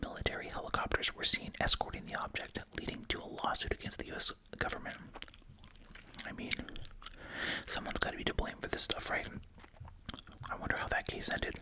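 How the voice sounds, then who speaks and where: Breathy whisper, unvoiced, ASMR host, casual musing delivery. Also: brisk. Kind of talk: whispered ASMR, reading reports with casual asides.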